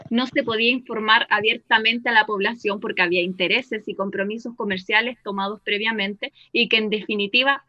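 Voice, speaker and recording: Actress doing a firm veteran newsreader calling in from a bunker, bright high voice, muffled lo-fi line. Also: female voice. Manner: firm, explanatory, defends her past work. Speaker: journalist and former newsreader. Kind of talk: radio drama acting.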